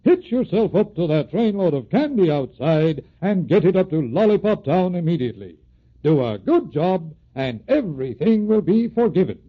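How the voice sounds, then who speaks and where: A stern engine master from a storybook record, as the narrator puts him on, talking with lively rises and falls in his voice. Slightly husky and a bit high, heard through a tinny, phone-like old recording. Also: normal speed, heavily acted. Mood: forgiving. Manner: scolding, then fatherly. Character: gruff stern adult male engine master.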